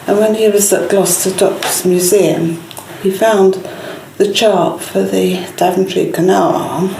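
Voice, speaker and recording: An older woman answers an interviewer with conversational recollections. Her mid-pitched voice is creaky, and she is recorded in a reverberant room with faint noise. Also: warm tone. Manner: conversational, anecdotal. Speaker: councillor interviewee.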